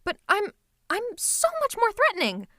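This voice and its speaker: A woman's voice: a little high, lively intonation, moderate pace, dry recording, studio quality, natural voice. A woman voicing a theatrical, possessive female supervillain who is secretly a tender lover, in a scripted ASMR roleplay with a dramatic, haughty, teasing manner. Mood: jealous outrage. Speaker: ASMR voice performer.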